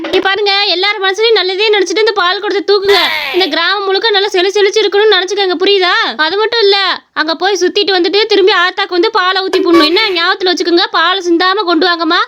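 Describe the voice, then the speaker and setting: A woman voicing a bossy, theatrical village woman in an animated comedy, insistent, with rural Tamil Nadu speech. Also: very high voice, fast talker, faint music behind. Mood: instructing. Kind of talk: animated comedy story voice acting.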